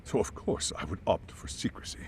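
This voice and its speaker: A man's voice: fairly quick, darkish tone, a little hiss or hum, close to an everyday voice. A man playing a guarded, formal head of school in an English-dubbed game cutscene, choosing his words carefully. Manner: formal, hedging, carefully worded.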